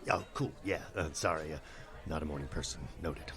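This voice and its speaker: A man's voice: rumbling low, a little fast, fairly bright tone. A man playing a cheery, eager tiefling bard in a comedy radio play, awkwardly chatty and hesitant. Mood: sheepish.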